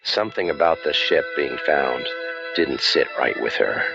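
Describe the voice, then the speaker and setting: An actor playing a world-weary, wisecracking private eye in a deadpan old-time radio detective style. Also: male voice, a bass voice, normal speed, vocal fry, lo-fi sound, over music. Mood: wry, brooding. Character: cynical, world-weary hardboiled male waterfront private eye.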